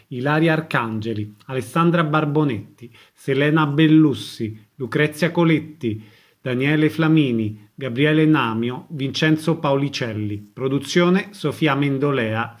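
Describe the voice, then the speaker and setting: A man reading a scripted radio announcement as the presenter of a programme. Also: medium pitch.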